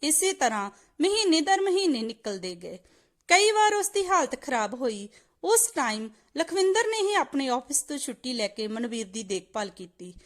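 A woman tells a scripted moral tale. Her voice sits high and moves in lively rises and falls. The delivery is that of a storyteller narrating.

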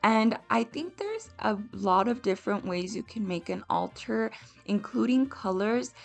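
A young woman talks her viewers through her project in a friendly, chatty voice-over. Her voice sits at an ordinary pitch with a gravelly, fry-tinged edge. Soft music plays faintly underneath. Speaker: home vlogger. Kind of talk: voice-over narration of a cooking and decorating video. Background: soft background music.

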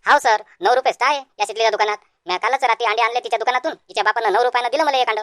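A woman's voice: a little high, very fast, a bit bright, no room sound, clean studio sound, lightly acted. A woman voicing a cheeky, argumentative village schoolboy in a comic cartoon, with a Vidarbha Marathi accent. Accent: Marathi, Vidarbha region. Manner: brazen back-talk.

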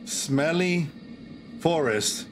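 A middle-aged man hosts a storytelling livestream with music behind him. His voice sits a little high for a man, and he takes his time, stretching out phrases theatrically with pauses. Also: lively intonation. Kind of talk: casual livestream chat and horror story reading. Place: indoor streaming setup.

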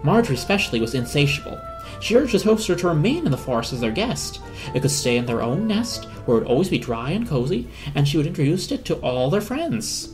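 A woman narrating a children's storybook as an expressive dramatic reading. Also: low voice, fairly quick, over music.

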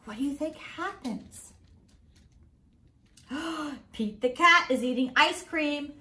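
A middle-aged woman reading a picture book aloud to children in an expressive, deliberate way. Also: sits high. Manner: expressive, slow and deliberate for children, with sing-song refrains. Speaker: school principal, guest story reader. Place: small home room, indoors.

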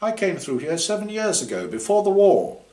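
Man acting an insistent, high-pitched male colleague. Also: sing-song, moderate pace, darkish tone, echoey room. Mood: insistent protest. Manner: emphatic, protesting. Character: male mission member, insistent, piping up in protest.

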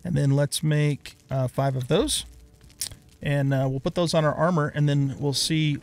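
A man's voice: fairly high pitch, steady tone, unhurried, darkish tone. A middle-aged man giving casual let's play commentary, unhurriedly thinking aloud step by step.

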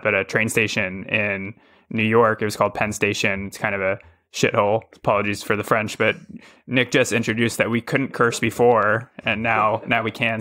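Bright-voiced wry male podcast guest. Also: on the low side. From Texas. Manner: wry, joking asides. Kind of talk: casual interview.

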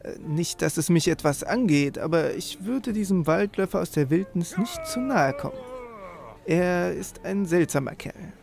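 A man with a creaky voice hosting a let's play, commenting on the game and reading quest text aloud. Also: high voice, expressive, normal speed, darkish tone. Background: game music.

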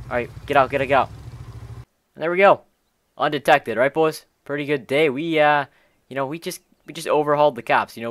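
A man's voice: mid-range voice, fairly bright tone, smooth, no room sound, studio quality. A young man commentating on gameplay casually with friends, exclamatory and full of slang like bro and dude. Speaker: gaming video host.

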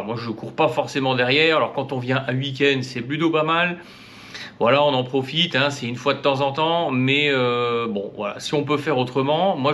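A man in his thirties, chatting casually to the camera in a hotel room, often pausing with fillers. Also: medium pitch, a bit bright, a little hiss or hum. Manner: chatty, frequent hesitations. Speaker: vlogger. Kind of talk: casual food vlog chat. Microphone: camera on a tripod, facing him.

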